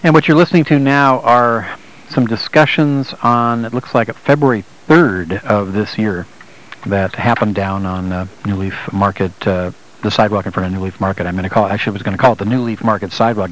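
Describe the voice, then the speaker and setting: A man speaking as a radio host, giving earnest, opinionated commentary in a measured way and introducing recordings. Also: lowish voice, fairly bright tone, a little hiss or hum, rough recording.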